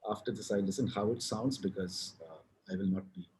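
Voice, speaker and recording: A man's voice: lowish voice, lively intonation, a little fast, a little mellow. A man with a South Indian accent, a guest on a video-call interview, talking in a frank, rambling way with restarts and casual swearing. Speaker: guest, advertising writer and author.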